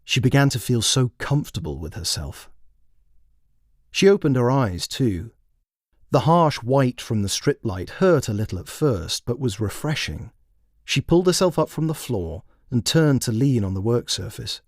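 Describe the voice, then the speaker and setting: Male storyteller, lively varied pitch, scripted fiction narration, close dry recording. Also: normal speed, no echo. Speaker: author and narrator.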